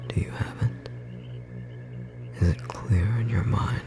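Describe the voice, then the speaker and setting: A performer voicing a tender magical bookstore clerk in an ASMR roleplay, whispering softly and gently encouraging a beloved partner, with a slightly rough edge. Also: medium pitch, takes it slowly, loud music behind the voice. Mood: gentle, guiding. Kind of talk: ASMR roleplay, intimate whispered second-person acting.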